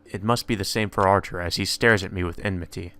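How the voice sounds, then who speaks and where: A man's voice: sits low, fairly even intonation, fast, pushed and bright. A man reading visual novel narration aloud as the narrator of a let's play.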